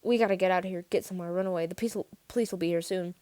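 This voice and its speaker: A woman's voice: lowish voice, fairly even intonation, racing, dark tone, no echo, natural voice. A woman voicing a tense, earnest teenage boy in a story reading, speaking in terse phrases. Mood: urgent, controlled. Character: quiet, tense teenage boy, steady but rattled. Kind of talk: audiobook-style character dialogue.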